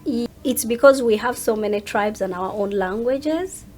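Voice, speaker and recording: A woman's voice: on the high side, moderate pace, a little mellow. A woman with a Ugandan accent speaking casually as an interview guest, explaining things by acting out what others say. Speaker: interview guest, social media content creator.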